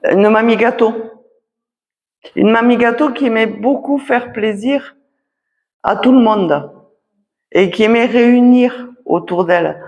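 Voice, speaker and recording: Woman, magnetiser at a public talk; unhurried and halting, checks in often, recorded in a reverberant hall. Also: on the high side, darkish tone, highs cut off. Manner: halting, punctuates phrases with "voilà" and "d'accord". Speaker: magnetiser giving readings. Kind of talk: spoken readings at a public talk. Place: association conference hall.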